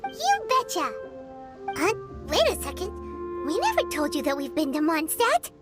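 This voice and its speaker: Super high squeaky little fairy girl, bouncy and blunt, big music behind. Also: measured pace, heavily acted. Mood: excited. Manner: squeaky, excitable, blurts out thoughts. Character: tiny chirpy floating girl companion, blunt. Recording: game cutscene with loud music.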